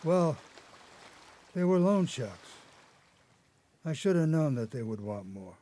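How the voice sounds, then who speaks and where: An actor playing a haunted old grandfather, an escaped convict telling his story haltingly in a radio drama. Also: a little high, sing-song, dark tone. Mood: haunted, agitated. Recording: noticeable background noise.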